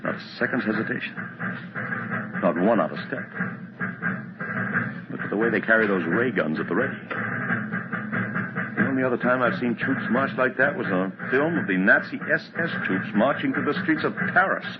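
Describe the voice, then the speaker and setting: A man's voice: mid-range voice, expressive, normal speed, long pauses, heavy background noise, close to an everyday voice. An actor playing a frantic, slangy, wisecracking American publicity man in an old radio drama, speaking with urgency. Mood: grim awe. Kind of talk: 1950s radio drama acting. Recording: muffled old radio recording, background voices.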